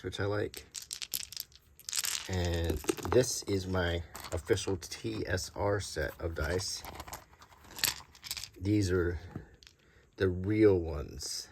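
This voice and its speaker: A middle-aged man giving a casual show-and-tell as a hobby collector, talking softly in an unhurried, halting way. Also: low-pitched, noisy background. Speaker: hobby collector presenting a video.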